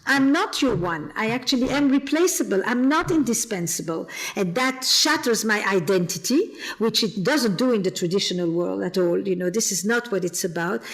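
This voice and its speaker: A woman's voice: mid-range voice, expressive, moderate pace, hardly any pauses. A woman from the Flemish part of Belgium with a Flemish accent, speaking thoughtfully as a guest therapist on a podcast. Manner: reflective, repeats words while thinking. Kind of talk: podcast interview.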